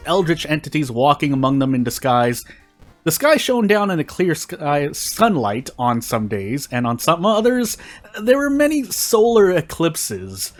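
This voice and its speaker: A man reading a story aloud in deliberate phrases with short pauses. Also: fairly high pitch. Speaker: fan fiction reader on a video channel. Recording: music behind the voice.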